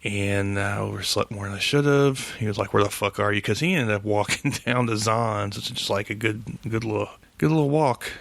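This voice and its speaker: A man's voice: lowish voice, a little fast, gravelly, hardly any pauses, fairly bright tone. A man hosting a podcast solo, chatting casually in a rambling, hesitant, self-deprecating way with some swearing. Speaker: podcast host.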